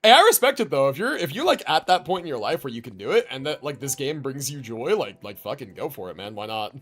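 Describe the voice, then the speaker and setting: A man's voice: mid-range voice, big pitch swings, quick pace, fairly bright tone. A man livestreaming a video game, chatting casually and reacting in slangy, mildly sweary language. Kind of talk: casual livestream chat with gaming commentary. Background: video game music and dialogue.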